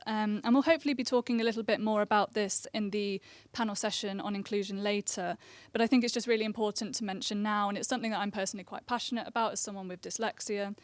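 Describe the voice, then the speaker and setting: A young woman in her twenties with a quiet, soft voice, presenting as a recent graduate on a conference panel. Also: medium pitch, no echo. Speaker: careers assistant, panel speaker.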